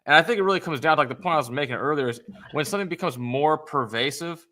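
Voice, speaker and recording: A man's voice: fairly high pitch, fast, pushed and bright. A man co-hosting a podcast in casual debate, speaking in wordy, academic phrases and hedging his points.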